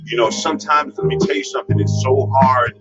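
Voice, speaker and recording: A man's voice: medium pitch, steady tone, brisk. A man hosting a casual advice livestream, talking with many fillers and restarts. He says himself that he has a strong voice. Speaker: host. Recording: lo-fi with music behind. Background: guitar music.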